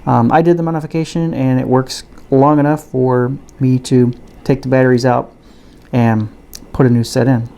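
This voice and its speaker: A man giving a casual, unscripted product review and demonstration in a halting, hesitant way. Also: mid-range voice, flat delivery, creaky, mellow, a little hiss or hum. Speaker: gadget reviewer.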